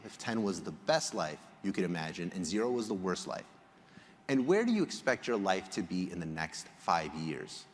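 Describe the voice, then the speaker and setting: A man from Egypt giving a prepared public presentation as a researcher, explaining in a measured way with emphatic phrasing. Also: sits low, slight background noise. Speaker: conference speaker, polling researcher. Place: large indoor hall, on stage. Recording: reverberant hall sound.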